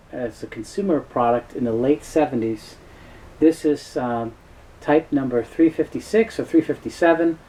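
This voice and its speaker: Mellow-voiced man explaining products, halting delivery. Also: medium pitch. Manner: halting, repeats words. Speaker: tape dealer and presenter. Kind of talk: unscripted informational product explainer.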